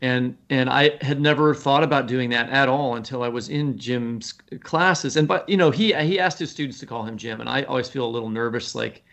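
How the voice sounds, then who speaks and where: A man's voice: mid-range voice, a little fast, few pauses, fairly bright tone, lo-fi sound. A man guesting as a writer on a literary podcast, talking earnestly and thinking aloud as he explains. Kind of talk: conversational literary podcast discussion.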